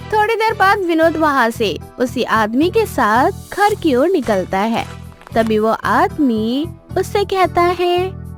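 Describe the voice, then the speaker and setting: High, animated female story narrator. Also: takes it slowly, faint music behind. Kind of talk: scripted dramatic story narration.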